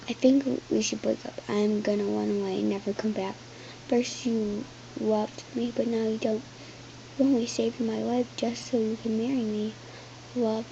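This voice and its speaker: A woman voicing a spirited, sharp-tongued young princess who talks back to her husband, in a homemade storybook reading. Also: a little high, vocal fry. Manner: indignant, talking back. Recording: dull lo-fi with faint hiss.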